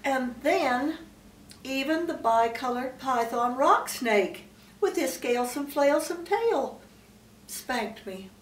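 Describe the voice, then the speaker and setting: Older woman as an eager high-pitched little elephant child. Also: animated, slow pace, echoey room, distant mic. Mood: earnest. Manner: earnest and very polite. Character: polite, naive young male elephant child.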